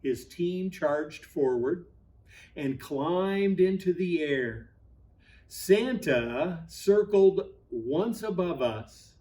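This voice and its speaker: Slow-paced man reading a storybook aloud. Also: a little high. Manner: expressive, dramatic pauses. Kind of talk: storybook read-aloud for children.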